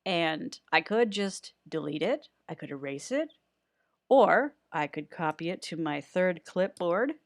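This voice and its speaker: A woman with a fairly low voice talks through an editing tutorial in a casual, off-the-cuff way. She rambles and pokes fun at herself, and the close microphone sounds very dry. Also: expressive, a little slow, no echo. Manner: chatty, rambling, self-deprecating humour. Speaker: voice actor giving an audio editing tutorial. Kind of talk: casual unscripted screen-recording tutorial.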